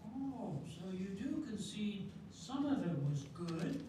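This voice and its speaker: An older man reading the part of a stubborn, opinionated elderly man in an amateur readers theatre piece, slow and plainspoken. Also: sits high, animated. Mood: bickering. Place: senior center hall. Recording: echoey hall from far off, noisy room.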